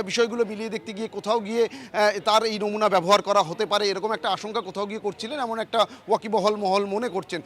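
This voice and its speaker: Fast, high-voiced male news correspondent. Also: a bit bright. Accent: West Bengal Bengali. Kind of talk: live news analysis, unscripted.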